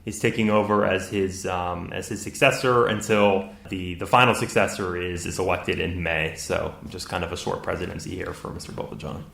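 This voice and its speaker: A man discussing politics in a measured, analytical way on a panel. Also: sits low, fairly even intonation, creaky, a bit bright. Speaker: co-host. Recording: roomy echoing room.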